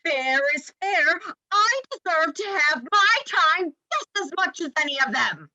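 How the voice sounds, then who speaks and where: Casting: greedy, petulant rabbit for stage comedy, extremely high, swooping voice. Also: female voice, lively intonation, a little slow, bright, forceful tone, dry recording, dull audio, exaggerated voice. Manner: petulant, demanding. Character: whiny, grabby, attention-hungry rapacious rabbit. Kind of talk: livestreamed stage play reading.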